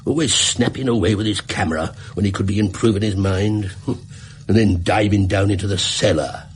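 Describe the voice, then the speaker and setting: An actor playing a fussy, gullible middle-aged pawnbroker in a radio drama, rambling and deferential. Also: male voice, fairly low pitch, moderate pace, husky. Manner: rambling, hesitant, chuckling, deferential. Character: fussy, garrulous, gullible widowed London pawnbroker. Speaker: client telling his story. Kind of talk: British radio drama acting.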